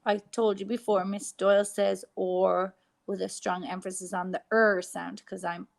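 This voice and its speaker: Irish woman, phonics teacher, slow exaggerated sounding out, gravelly. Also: mid-range voice, flat delivery. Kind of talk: phonics lesson for young children.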